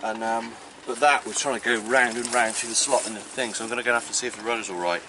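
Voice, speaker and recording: A man's voice: medium pitch, fairly even intonation, quick pace, background noise. A man, chatting casually as a sailor aboard a boat, caught on a camera microphone. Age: 30s. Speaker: sailor on vlog. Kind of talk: casual chat. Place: aboard a small sailing boat. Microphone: camera microphone at short distance.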